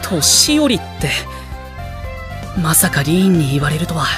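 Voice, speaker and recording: A man's voice: fairly high pitch, very animated, unhurried. A man playing an easygoing, weary teenage boy in an anime audio drama, mild and casual but easily flustered. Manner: mild, casual, flustered when put on the spot. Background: music.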